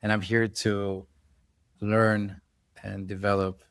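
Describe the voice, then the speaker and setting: Calm low-voiced male podcast host. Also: slow, clear, resonant tone, no echo. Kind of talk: relaxed podcast hosting.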